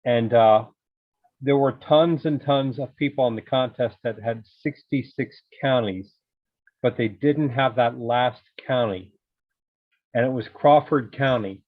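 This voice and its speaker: A man's voice: medium pitch, measured pace, no echo. A man telling casual anecdotes as a member of an amateur radio club in an online meeting. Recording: narrow tinny call audio.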